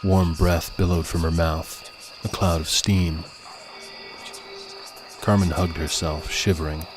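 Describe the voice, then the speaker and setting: A man's voice: deep voice, steady tone, slow. A man reading scripted fiction as a dramatic audiobook narrator. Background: music.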